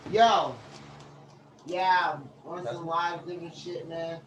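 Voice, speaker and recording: A man hosting a casual livestream chat, loose and swearing, shouting hyped greetings to friends. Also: a little high, fairly even intonation, slow pace, recorded from a distance. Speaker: host. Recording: echoey room, faint background hum.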